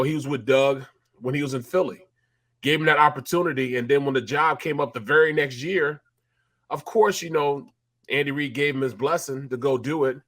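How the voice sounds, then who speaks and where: A man's voice: mid-range voice, fairly bright tone. A man in his late forties talking casually about football as a co-host, with many fillers and repeats. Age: 40s. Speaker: sports talk co-host, former player. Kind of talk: casual sports talk.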